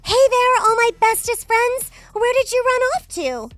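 A woman playing a bubbly, sweet young girl singing star, gushing and excitable, in a children's audio drama. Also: very high-pitched, measured pace, faint music behind, heavily acted. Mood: eager. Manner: gushing, emphatic, cheerful.

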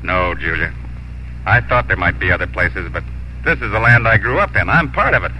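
An actor playing a determined young Texan home from war, earnest and resolute, in 1950s radio drama style. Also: male voice, low voice, lively intonation, a little fast, bright, forceful tone, slight background noise, full character voice. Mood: firm resolve. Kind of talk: 1950s radio drama acting and first-person narration. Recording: dull 1950s radio recording, music behind.

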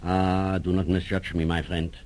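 An actor playing a suave, menacing German professor and spymaster in a radio drama, with formal, courteous and calculating speech. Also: male voice, deep voice, little pitch movement, moderate pace, a little mellow, lightly acted. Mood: suave, sly. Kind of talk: 1940s radio drama acting.

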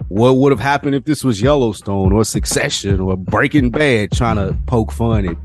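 A man's voice: fairly low pitch, moderate pace. Blunt, slangy man with music behind. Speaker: podcast co-host. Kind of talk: casual chat.

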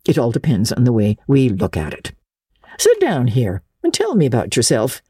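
A crusty old lady of a classic storybook, acted by a woman, with a husky voice and wide swoops of pitch. She is blunt, stern and old-fashioned in the way she talks. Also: wildly swooping pitch, normal speed, warm tone, no room sound, studio quality, full character voice. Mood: grudgingly amused.

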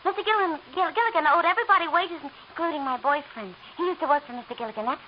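An actress playing a chatty, naive young shop clerk who stammers a little, in a 1940s radio drama. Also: female voice, sits high, rapid-fire, close to an everyday voice. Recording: hissy lo-fi old radio recording.

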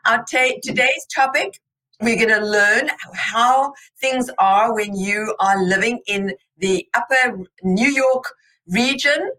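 A woman's voice: on the high side, slow pace, bright, forceful tone, poor audio. A woman hosting a podcast interview, upbeat and encouraging with her guest. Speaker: podcast host. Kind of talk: casual interview.

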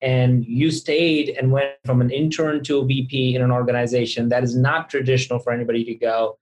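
Podcast host, mid-range pitch, earnest and encouraging, conversational recap delivery with repeated phrases for emphasis. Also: level tone, a little fast, a little mellow, rough recording. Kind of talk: conversational interview, reflective recap.

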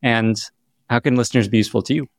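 A man's voice: mid-range voice, steady tone, fast talker, no echo, clean studio sound. A man hosting an interview podcast, upbeat and enthusiastic as he asks questions and wraps up. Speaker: podcast host.

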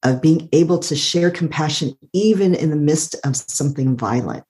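A middle-aged woman with a calm, soothing voice, thoughtfully telling her life story as an interview guest and laughing often. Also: low voice, normal speed. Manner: warm, thoughtful, laughs often, uses "like" a lot. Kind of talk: reflective personal storytelling.

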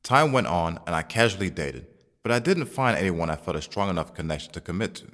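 A man's voice: sits low, sing-song, fairly quick, a bit bright. An actor playing a cocky yet caring young single man in an audio drama, slangy and swearing. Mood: reflective. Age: young adult. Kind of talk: scripted audio drama acting and first-person narration. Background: background music.

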